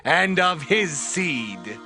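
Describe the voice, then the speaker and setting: Fawning assassin, high voice, slow ceremonious proclamation, biblical audio drama, music behind. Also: male voice, very animated, bright, forceful tone, heavily acted. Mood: triumphant, fawning. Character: fawning assassin bringing trophy to his king. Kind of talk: dramatized Bible acting.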